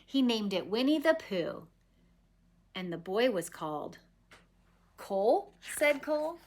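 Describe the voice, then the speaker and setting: A woman reading a children's picture book aloud expressively, with dramatic pauses. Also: fairly high pitch, sing-song, a little hiss or hum. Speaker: story reader.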